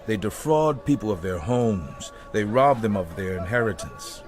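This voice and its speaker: A man's voice: lowish voice, animated, normal speed, a little hiss or hum, close to an everyday voice. A man voicing a grave prophet pronouncing woe, solemn and declamatory, in a dramatic scripture reading. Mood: solemn, accusing.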